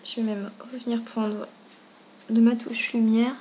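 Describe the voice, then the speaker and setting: A woman's voice: a little high, little pitch movement, measured pace, warm tone, low-quality recording. A woman giving a casual step-by-step makeup tutorial, talking informally as she works. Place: indoors at home. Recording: noticeable background noise, amateur home video.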